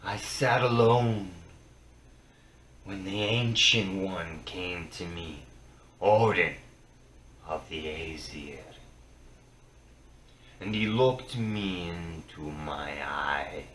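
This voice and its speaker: A man giving a solemn, theatrical reading of mythic poetry, in halting phrases that swing between hushed and booming. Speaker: storyteller reciting Norse myth. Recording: roomy, distant mic with faint noise.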